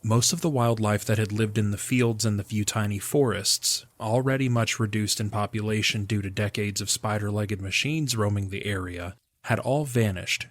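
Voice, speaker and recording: A man's voice: on the low side, no echo, studio quality. A man giving scripted narration of a fantasy audio drama as its narrator.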